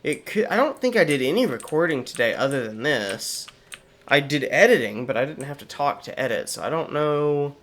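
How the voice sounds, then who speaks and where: A man's voice: on the high side, lively intonation, moderate pace, fairly bright tone, slight background noise. A young man with a slightly sore voice, chatting casually through game commentary with wry asides. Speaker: gaming channel host.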